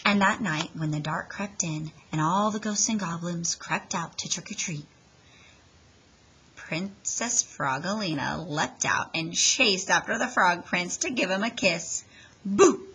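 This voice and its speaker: A woman reading a children's story aloud in an expressive, dramatic way, leaning on the sound words. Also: on the low side, measured pace, husky, rough recording.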